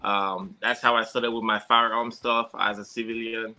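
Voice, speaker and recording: A man's voice: fairly low pitch, little pitch movement, normal speed, pushed and bright. A man from Haiti with a heavy Haitian accent, a security consultant talking in a casual interview, hesitant, with many fillers and restarts.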